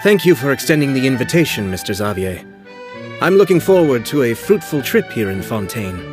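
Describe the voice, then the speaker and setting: A man voicing a composed, courteous young nobleman in a video game, speaking formally and with measured politeness. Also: mid-range voice, sing-song, faint music behind, natural voice. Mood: gracious.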